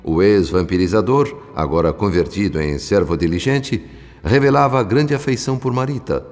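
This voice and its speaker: A man with a Brazilian accent playing a solemn, compassionate narrator, telling a radio drama in measured literary phrases. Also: low-pitched, lively intonation, darkish tone. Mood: solemn, tender. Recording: long reverb with music behind. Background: music.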